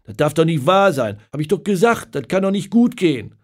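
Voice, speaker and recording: A man voicing an outraged middle-aged football fan who rants and shouts at the match. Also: a little high, very animated, fairly quick, pitched above the performer's own voice. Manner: shouting, ranting dialect-tinged outbursts.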